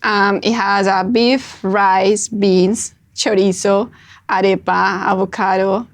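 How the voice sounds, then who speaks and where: Colombian woman, guest, calm, measured explainer. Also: medium pitch, vocal fry. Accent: Colombian Spanish. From Colombia, Bogotá. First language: Spanish. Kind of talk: casual interview chat.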